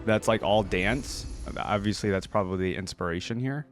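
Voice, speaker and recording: A man's voice: lowish voice, normal speed. Casual male reaction host, music behind.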